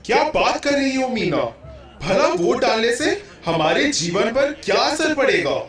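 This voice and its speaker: A man's voice: extremely high pitch, big pitch swings, measured pace, a bit bright, faint music behind, heavily acted. A man playing a lazy, dismissive husband and father in a puppet show, theatrical and bossy. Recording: very echoey hall, distant mic.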